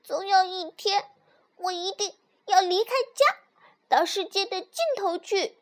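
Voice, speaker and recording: A woman's voice: lively intonation, measured pace, a bit bright, full character voice. A woman voicing a bold, boastful little fox child in a storybook, with an eager, emphatic childlike delivery. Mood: eager, defiant. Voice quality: high, childlike.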